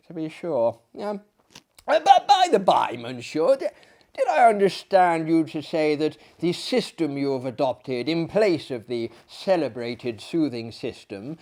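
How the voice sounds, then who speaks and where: Man acting high-pitched, flustered polite gentleman. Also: big pitch swings, unhurried. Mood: nervously agreeable. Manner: stammering, fussy, over-polite. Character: polite, flustered, deferential gentleman visitor to an asylum. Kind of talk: theatrical audiobook character acting.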